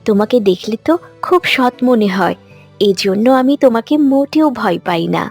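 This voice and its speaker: A woman voicing a gentle young serpent princess in a cartoon story, tender, earnest and trusting. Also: mid-range voice, animated, moderate pace, faint music behind. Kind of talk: dramatized cartoon story dialogue.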